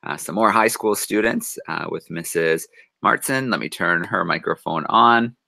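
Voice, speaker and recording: A man in his thirties hosting a live video call for classrooms, brisk and friendly as he calls on each class. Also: low voice, vocal fry, bright, forceful tone, no echo. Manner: brisk, friendly, addresses kids directly. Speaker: host. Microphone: webcam on video call.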